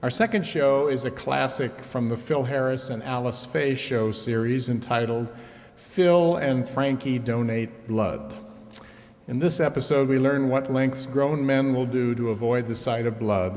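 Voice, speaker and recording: A man's voice: medium pitch, a little slow, darkish tone. A man giving a scripted introduction from the stage as host of a radio players' show. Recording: reverberant hall, live stage.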